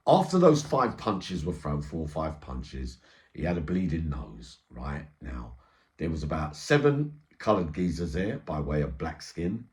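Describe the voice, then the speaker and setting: A man's voice: very deep voice, a little mellow. A middle-aged man telling an eyewitness story informally, in streetwise slang with frequent check-in tags. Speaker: storyteller and channel host.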